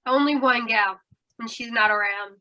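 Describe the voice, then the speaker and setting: A woman playing a tough, suspicious aging carnival woman in radio noir style, brassy and slangy with a sharp voice. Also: a little high, normal speed, rough recording. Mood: gruff, wary. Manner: brassy, clipped slangy talk. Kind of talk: 1940s radio noir acting.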